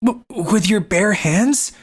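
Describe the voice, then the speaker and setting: A woman's voice: mid-range voice, slow, faint music behind, close to an everyday voice. A woman voicing a timid, introverted teenage schoolboy in a manga dub, hesitant in manner. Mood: nervous. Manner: hesitant, stammering when addressed. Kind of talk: manga dub narration and acting.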